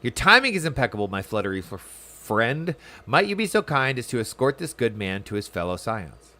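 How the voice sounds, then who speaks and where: A man's voice: medium pitch, very animated, pushed and bright, voice pushed down. Man reading as a formal, courteous fantasy officer. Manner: measured, archaic, formal. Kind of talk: reading game dialogue aloud.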